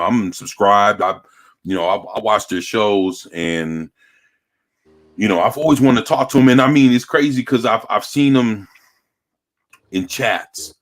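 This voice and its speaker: An American man talking casually in streetwise, slangy speech with swearing and halting, repeated phrases. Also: fairly low pitch, fairly bright tone. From United States. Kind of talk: casual chat.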